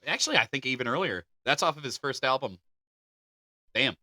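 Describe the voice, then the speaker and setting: A male podcast co-host reads out folklore in an animated, jokey way, his voice rising and falling widely. His tone is very bright and forceful, and the mic sound is dry and close. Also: mid-range voice, fairly quick, dry recording. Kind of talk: casual chat, reading folklore aloud.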